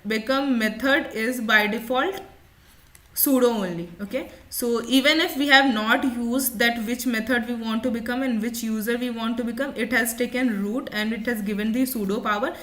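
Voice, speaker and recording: A woman instructor explaining a software demonstration step by step, often repeating her points as she goes. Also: on the high side, fairly even intonation, normal speed.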